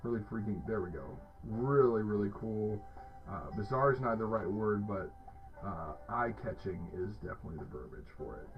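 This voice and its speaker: A man's voice: lowish voice, expressive, normal speed. A man hosting a casual hot sauce review, talking with enthusiasm and rambling through fillers and restarts. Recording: dull lo-fi sound with faint music.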